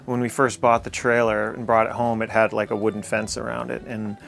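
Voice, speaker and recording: A man's voice: lowish voice, level tone, fairly quick, gravelly, fairly bright tone, soft background music. A man giving a casual, conversational tour of his own home as its owner. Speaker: homeowner giving a house tour. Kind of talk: casual house tour narration.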